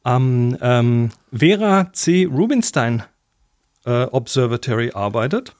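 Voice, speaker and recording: Lively, teasing podcast co-host man. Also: medium pitch, slow, dry recording, clean studio sound. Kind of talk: casual chat.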